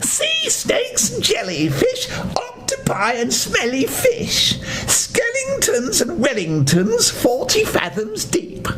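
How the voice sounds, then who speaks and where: A man acts out a wicked old storybook witch. The voice lurches up and down in a high, theatrical singsong, slow and drawn out, full of menace. The recording is noisy, with a low rumble. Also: wildly swooping pitch, heavily acted. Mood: gleeful singsong.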